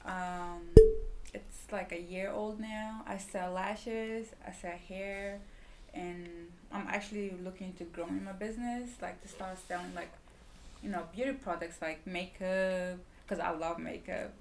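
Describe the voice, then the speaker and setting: A woman's voice: mid-range voice, steady tone, measured pace, slight background noise. A woman in her twenties with a Swedish accent, chatting casually as a podcast guest, rambling with lots of fillers. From Liberia, raised in Sweden. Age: 20s. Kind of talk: casual chat.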